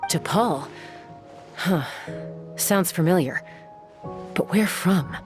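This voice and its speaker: A woman's voice: lowish voice, extremely slow, over music. A woman playing a tough, protective young gunslinger in a video game, cool and commanding. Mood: cool, interrogating.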